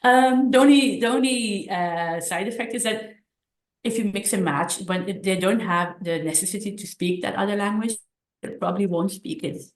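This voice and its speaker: A middle-aged woman telling personal stories casually as an interview guest, haltingly, repeating words and restarting sentences. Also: medium pitch. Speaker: podcast guest, author. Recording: roomy echo on the voice.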